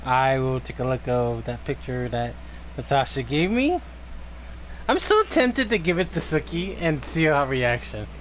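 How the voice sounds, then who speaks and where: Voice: mid-range voice, sing-song, unhurried, slight background noise. A game streamer chatting casually, in a teasing, sweary way. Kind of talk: casual live gaming chat.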